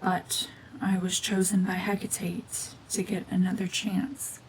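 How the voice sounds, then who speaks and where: A woman voicing a cold, mean, world-weary cyborg witch and war veteran in a hushed, breathy, brooding, deliberate ASMR drama monologue. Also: medium pitch, little pitch movement, slight background noise, close to an everyday voice, low-quality recording. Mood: haunted, bitter.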